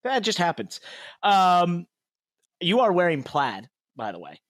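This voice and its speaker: A man's voice: high-pitched, huge pitch swings, a little slow, fairly bright tone, no echo, clean studio sound. A man hosting a racing podcast, chatty and upbeat, laughing often as he asks questions. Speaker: podcast host and racing driver. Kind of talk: casual podcast chat.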